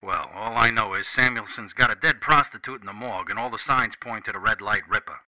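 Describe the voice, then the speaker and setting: An actor playing a police officer who brings bad news in a blunt, serious way. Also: male voice, lowish voice, brisk, a crowd in the background, close to an everyday voice, low-quality recording. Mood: grave.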